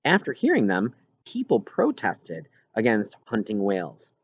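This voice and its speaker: A man reading a children's novel aloud as scripted narration, with expressive storytelling delivery. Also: lowish voice, measured pace, dry recording, dull audio. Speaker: audiobook reader.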